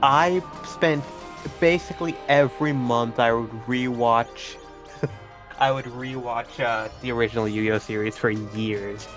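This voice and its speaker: A man's voice: mid-range voice, fairly even intonation, measured pace, fairly bright tone, soft background music. Trinidadian-New York streamer man chatting. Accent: Trinidadian and New York mix. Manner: chatty, laughs often. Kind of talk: casual stream chat.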